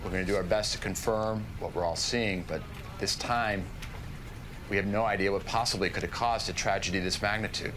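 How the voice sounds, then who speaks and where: A man's voice: on the low side, fairly even intonation, brisk, noisy background, lightly acted. An actor playing a shaken male television news anchor delivering breaking news in a video game cutscene. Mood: grave, shocked.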